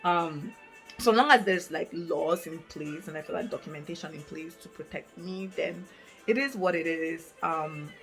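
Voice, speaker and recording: A woman in her late twenties from Africa speaks candidly to the camera, thinking aloud with frequent fillers and restarts. Her voice sits on the lower side, and soft music plays faintly underneath. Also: normal speed, low-quality recording. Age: 20s. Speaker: vlogger. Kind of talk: casual reflective talk to camera. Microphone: camera mic, reading from notes.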